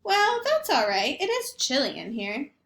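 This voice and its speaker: A woman's voice: sits high, huge pitch swings, measured pace, fairly bright tone, reverberant, heavily acted. A woman voicing a kindly, fussing old grandmother who cannot see or hear well. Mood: fond. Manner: fond, fussing and sing-song. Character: kindly old woman, half blind and half deaf, chatty.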